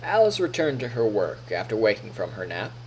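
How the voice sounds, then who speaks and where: A man's voice: lowish voice, sing-song, brisk, a little hiss or hum, poor audio. A man streaming, reading narration from a visual novel aloud and adding casual comments. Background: game music.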